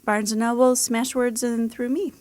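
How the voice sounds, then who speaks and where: A woman's voice: fairly high pitch, little pitch movement, normal speed, darkish tone. A woman author talking as a guest in a casual interview, explaining things with the odd laugh in her speech.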